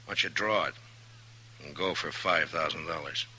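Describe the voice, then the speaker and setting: Deep-voiced, forceful frontier marshal. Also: male voice, normal speed, breathy edge, heavily acted, poor audio. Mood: goading. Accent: American. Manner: blunt, commanding, coolly goading. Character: tough, unhurried frontier marshal, coolly menacing. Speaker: US marshal in a western. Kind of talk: 1950s American radio western acting.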